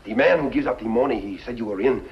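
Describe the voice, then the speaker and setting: A man's voice: medium pitch, fast talker, close to an everyday voice. An actor playing a humble, hesitant Mexican man with a Mexican accent in an old television western. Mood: humbly polite. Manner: polite, hesitant, obsequious. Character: Mexican peasant man in disguise, humble, deferential, halting. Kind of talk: 1950s television western acting. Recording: muffled, noisy old television soundtrack.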